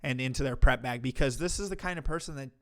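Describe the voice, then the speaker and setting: Guy streamer trashing a clip live, crude and sarcastic, normal pitch. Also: fast talker. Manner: mocking, profane, talks back at a clip. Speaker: commentary streamer host. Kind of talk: livestream reaction commentary.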